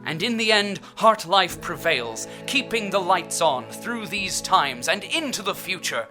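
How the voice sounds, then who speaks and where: A man's voice: high voice, big pitch swings, unhurried, over music. A man narrating an audio drama as a solemn, grandiose chronicler of a dystopian city, emphatic and portentous.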